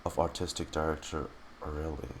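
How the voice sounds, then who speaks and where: A man who streams games, reading in-game text aloud dramatically and haltingly and muttering his reactions. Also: a bass voice, little pitch movement, background noise.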